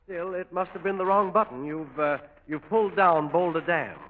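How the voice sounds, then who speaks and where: Polite, dry presidential secretary male actor, highish quick voice, 1930s radio comedy on a tinny recording. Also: very noisy, lightly acted.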